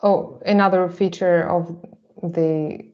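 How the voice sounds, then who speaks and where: Russian-accented woman artist, slow, hesitant presenter, lowish voice, muffled lo-fi sound. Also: fairly even intonation. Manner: hesitant, many fillers and restarts. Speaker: artist presenting her work. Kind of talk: talk presentation.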